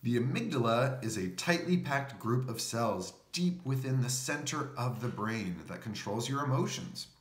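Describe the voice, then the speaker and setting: A man's voice: mid-range voice, expressive, moderate pace, hardly any pauses, reverberant. A man reading a children's picture book aloud, explaining things as he goes. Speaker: storybook reader.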